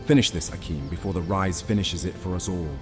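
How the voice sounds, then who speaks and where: A stern, grave vampire fighter, acted by a man for horror-fantasy audio fiction. His very low voice is commanding and ominous, over faint music. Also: fairly quick, close to an everyday voice. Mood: urgent, ominous. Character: stern vampire brother-in-arms, grave and urgent. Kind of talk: dramatic audio fiction acting.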